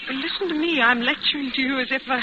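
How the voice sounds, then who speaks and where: A woman's voice: high voice, fast, heavy background noise, natural voice. An actress playing a well-mannered, nervous young woman traveller in an old radio suspense drama, carefully spoken and hesitant. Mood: wry, polite. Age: young adult. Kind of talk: 1940s radio acting, first-person suspense narration and dialogue. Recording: muffled old radio broadcast with music.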